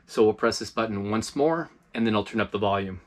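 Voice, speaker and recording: A man's voice: on the low side, a little fast. Chatty man reviewing gear. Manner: relaxed and chatty, frequent fillers. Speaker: host of a preparedness review channel. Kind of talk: casual product review. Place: inside an RV.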